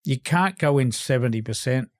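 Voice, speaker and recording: A man's voice: medium pitch, animated, moderate pace, no echo. An Australian man co-hosting a sports podcast, giving blunt, opinionated views in a casual style. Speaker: podcast co-host, sports journalist.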